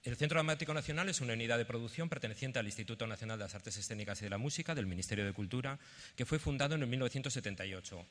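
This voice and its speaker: A man's voice: medium pitch, fairly bright tone. Spanish man presenting in a reverberant hall. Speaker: theatre representative presenting a project. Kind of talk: conference presentation, partly read out. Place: indoor conference venue.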